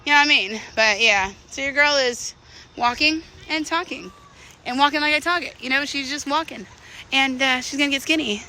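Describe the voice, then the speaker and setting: Bright-voiced woman in her thirties, candidly rambling. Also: high-pitched, moderate pace, a little hiss or hum. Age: 30s. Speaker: vlogger. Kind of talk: casual confessional walk-and-talk vlog.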